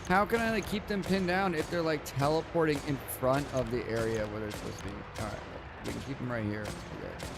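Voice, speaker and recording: A man's voice: mid-range voice, brisk, vocal fry, hardly any pauses, noisy background. A man giving casual live commentary as he streams a game, with a wry, sarcastic, grumbling manner.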